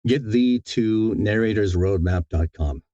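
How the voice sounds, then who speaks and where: A man giving thoughtful interview answers in a halting, deliberate way, often repeating words as he thinks. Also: lowish voice, dark tone, dull audio. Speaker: audiobook narrator, interview guest.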